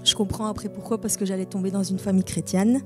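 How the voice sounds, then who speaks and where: A woman's voice: medium pitch, fairly even intonation, fast talker, faint music behind. Hesitant woman in her thirties testifying. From Italian family background. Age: 30s. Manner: earnest, hesitant with many fillers. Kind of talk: church testimony.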